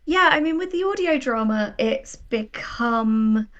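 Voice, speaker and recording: A woman chatting as an interview guest about her writing, telling stories in an animated, self-deprecating, wry way. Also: on the high side, a little slow, highs cut off. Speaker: guest, writer of audio drama. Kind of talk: casual interview chat, personal anecdotes.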